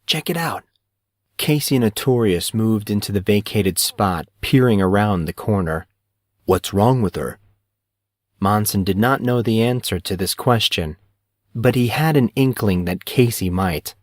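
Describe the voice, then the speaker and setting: A man narrating a fantasy novel in a measured, even storytelling manner. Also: lowish voice, no room sound. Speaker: audiobook narrator.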